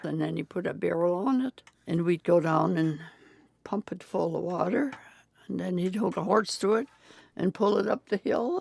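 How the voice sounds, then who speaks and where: A woman of ninety in an interview booth, telling farm stories in a plain, unhurried way. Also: lowish voice, creaky, darkish tone, no room sound. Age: 90s. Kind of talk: recalling farm life in conversation. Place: recording booth.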